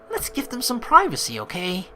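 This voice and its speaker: A chatty, sweary woman doing casual, expressive let's play commentary and reading narration aloud. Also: fairly high pitch, slight background noise. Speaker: let's player.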